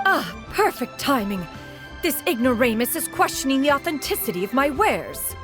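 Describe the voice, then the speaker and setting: A woman's voice: high voice, big pitch swings, normal speed. A woman voicing a proud ancient crane spirit in a video game, speaking in a stately, archaic and haughty way. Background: game music.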